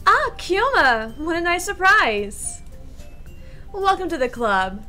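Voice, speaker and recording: A woman's voice: very high voice, sing-song, slow pace, sharp tone, faint music behind, voice pushed up, heavily acted. A woman voicing a sweet, poised, popular schoolgirl in a visual novel read-aloud. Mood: sweet, delighted.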